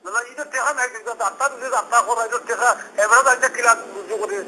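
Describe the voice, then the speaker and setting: A man's voice: very high voice, fairly even intonation, fast, sharp tone. A man with a Sylheti accent on an informal phone call, speaking in an exasperated, repetitive way. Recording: phone call with background noise.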